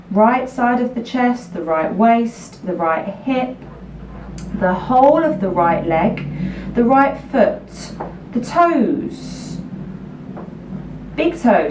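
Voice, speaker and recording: A woman teaching a guided relaxation practice for children, speaking soothingly in unhurried, repetitive instructions with gentle pauses. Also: medium pitch, slight background noise. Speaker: yoga nidra teacher. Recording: roomy echo, distant microphone.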